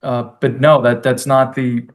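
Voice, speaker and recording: A man's voice: medium pitch, flat delivery, unhurried. A man in his forties, chatting casually as a security manager, often stumbling and repeating his words as he talks. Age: 40s. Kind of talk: casual conversation.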